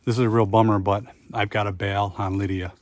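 A man's voice: fairly low pitch, flat delivery, brisk, no echo. A man in his forties vlogging a solo canoe trip, talking matter-of-factly and swearing under his breath, recorded outdoors on a small action camera by a pond. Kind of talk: first-person outdoor vlog narration. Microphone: action camera clipped at chest or held. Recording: outdoor camera audio with wind noise. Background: wind and water.

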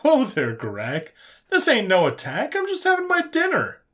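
Breezy human interrogator played by a male narrator, pitched up and swinging, folksy sci-fi storybook good guy. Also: normal speed, exaggerated voice. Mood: calm, amused. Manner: folksy, calm, drawling colloquial phrases.

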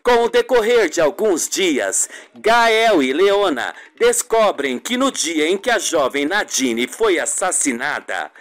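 A man's voice: a little high, sing-song, slow pace, few pauses, a bit bright. A man narrating a scripted radio soap opera in a dramatic storytelling manner.

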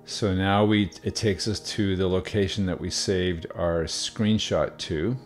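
A man calmly explaining software steps one by one in a screen-recorded tutorial, with the odd filler. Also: deep voice, measured pace, soft background music. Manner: relaxed step-by-step explaining with fillers. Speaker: video tutorial host.

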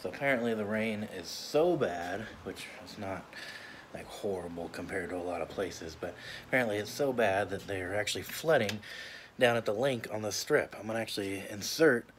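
A man in his thirties chatting casually to his phone camera at arm's length in his living room, relaxed and talkative. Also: on the low side, moderate pace. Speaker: vlogger. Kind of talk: casual home vlog. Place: living room at home, indoors. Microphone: phone held at arm's length. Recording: phone audio with clear background noise.

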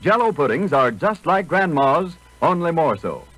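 Animated 1940s radio pitchman. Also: moderate pace, husky, no room sound, rough recording. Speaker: radio announcer. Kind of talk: 1940s radio ad read, polished and enthusiastic. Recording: vintage 1940s radio broadcast.

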